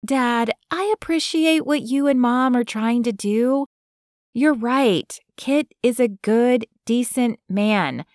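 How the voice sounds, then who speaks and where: Casting: woman doing a guarded young woman for an audiobook, high and insistent, dry close sound. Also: slow pace, a little mellow, no room sound.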